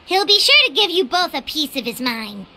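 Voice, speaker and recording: A woman voicing a tiny, chirpy, bossy fairy girl in a video game, with a childlike squeaky voice. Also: very animated, fairly quick, a little hiss or hum, heavily acted. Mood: matter-of-fact. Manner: perky, refers to herself by name. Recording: loud game music behind.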